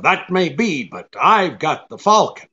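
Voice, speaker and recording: An actor playing a tough, shrewd private detective in a radio drama, brisk and commanding. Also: male voice, on the high side, sing-song, pushed and bright, muffled recording, heavily acted. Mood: cool, bargaining. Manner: brisk, hard-boiled, commanding. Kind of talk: old-time radio drama acting.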